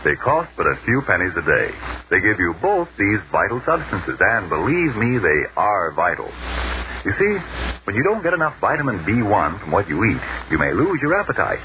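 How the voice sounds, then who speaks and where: A man's voice: on the low side, wildly swooping pitch, moderate pace. A man working as a radio announcer, delivering scripted 1940s narration and sales copy in a formal, emphatic way. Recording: muffled, noisy 1940s radio recording.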